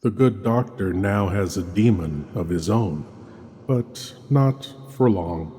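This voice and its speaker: A man performing as a sinister, theatrical horror host, delivering ominous, slow scripted narration. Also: low-pitched, dark tone. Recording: long reverb, music underneath.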